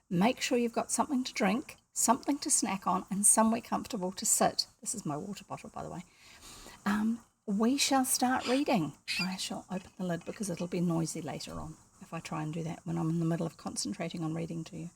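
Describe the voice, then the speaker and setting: A woman's voice: fairly low pitch, gravelly, slight background noise. An older woman with a New Zealand accent reads a storybook aloud at her home desk, chatty and rambling, stopping often to explain things. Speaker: storyteller reading books aloud. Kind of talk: casual read-aloud with chatty asides.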